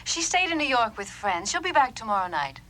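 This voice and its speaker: Bright-voiced, polite mother. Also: a little high, very animated, brisk. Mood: polite, evasive. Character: polite, evasive adult mother on the telephone. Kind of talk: children's audio drama acting.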